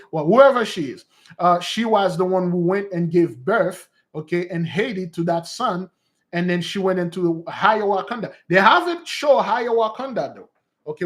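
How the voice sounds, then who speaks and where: A man teaching in a loose, rambling monologue who repeats his points and calls his listeners beloved. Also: sits high. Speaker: preacher and teacher.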